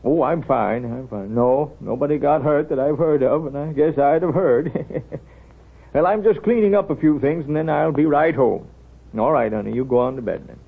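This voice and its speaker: An actor playing a wry, kindly small-town doctor with a folksy, unhurried manner in a 1930s radio drama. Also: male voice, a little high, creaky, husky. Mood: affable. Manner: folksy, wry, unhurried, hesitant drawn-out asides. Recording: thin, tinny 1930s radio recording.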